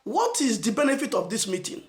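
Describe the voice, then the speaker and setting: A Nigerian man giving an emphatic motivational message as a channel host, asking rhetorical questions and stressing phrases slowly. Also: extremely high pitch, huge pitch swings, roomy sound. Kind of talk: motivational preaching to camera.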